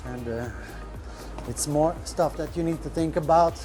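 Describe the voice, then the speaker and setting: Man talking shop, halting. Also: on the high side, mellow, soft background music. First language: French. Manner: rambling, many fillers, searches for words. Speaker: restaurant owner. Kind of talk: casual podcast talk. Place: restaurant kitchen.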